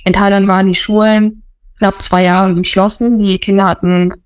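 A woman's voice: mid-range voice, little pitch movement, normal speed, clean tone, no echo. A woman in her thirties, a missionary, telling her story in an interview, earnest and often restarting her sentences. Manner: earnest, searching for words, restarts. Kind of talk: casual interview talk.

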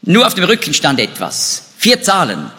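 A man speaks with a bright, forceful tone that leaps up and down in pitch. He talks through history with filled pauses and restarts. His voice rings with a long hall reverb. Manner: lively, animated delivery with hesitations. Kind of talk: lecture on European history.

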